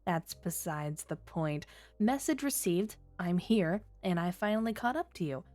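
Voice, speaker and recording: A woman's voice: lowish voice, lively intonation, unhurried, darkish tone, no room sound, soft background music. A woman playing a flirty, teasing female criminal who toys with a detective in a coy, sultry ASMR roleplay. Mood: coy, reproachful. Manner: coy, sultry, playful innuendo.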